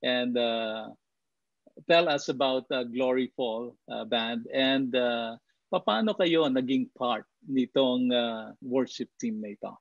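A middle-aged man with a Filipino accent, interviewing guests as a show host in halting, deliberate phrases. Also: mid-range voice, gravelly, no room sound, lo-fi sound. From Philippines. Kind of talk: interviewing guests, switching between English and Tagalog. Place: indoors at home, on a video call.

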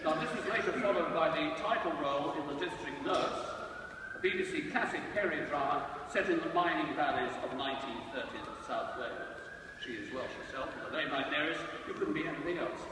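A man with a slightly high voice presents formally from a stage. He sounds distant in a very echoey church, with clear background noise. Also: lively intonation, fairly quick. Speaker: presenter introducing a performer. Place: large church, live concert.

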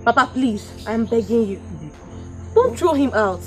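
High, imploring young Nigerian woman. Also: very animated, normal speed, soft background music. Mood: pleading. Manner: imploring, repeats pleas. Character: loyal, pleading adult daughter. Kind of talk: Nollywood film drama acting.

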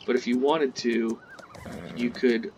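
A man hosting a casual gameplay tutorial, chatting in a relaxed way as he plays, with game sounds faintly behind. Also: medium pitch, slow pace, slight background noise. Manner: relaxed, chatty, talks while playing. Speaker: gaming channel host.

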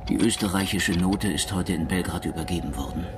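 A man as very deep-voiced officer writing home. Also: fairly quick, heavy background noise, close to an everyday voice. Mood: tense, pondering. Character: grave officer husband writing intimate, worried letters home. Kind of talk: intimate letter reading in a radio play. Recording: loud music bed under the voice.

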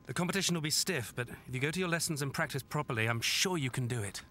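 A man playing a tender, sorrowful father in a video game cutscene, speaking gently and solemnly. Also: medium pitch, animated, fast talker, slight background noise.

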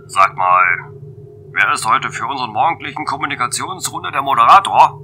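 A man's voice: mid-range voice, a little fast, pushed and bright. A man playing a grumbling, bantering space station colleague in a German radio play, casual and teasing. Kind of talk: amateur radio drama acting. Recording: faint noise and hum.

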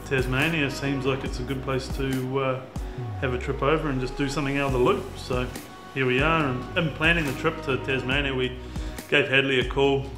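A man's voice: mid-range voice, a little fast, hardly any pauses. Kiwi guy yarning about fishing, laid back, lots of ums, echoey with music under it. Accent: New Zealand. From New Zealand. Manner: relaxed and chatty, with frequent fillers. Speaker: fisherman. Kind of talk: casual interview about a fishing trip. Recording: roomy sound with music behind.

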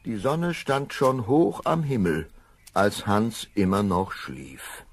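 Deep gravelly male fairy-tale narrator. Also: big pitch swings, slow, faint music behind. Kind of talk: fairy-tale narration for a children's audio play.

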